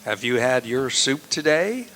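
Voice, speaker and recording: A man's voice: mid-range voice, very animated, unhurried, heavy background noise. A man narrating as the announcer of an old-time radio mystery and reading its sponsor copy in a scripted style.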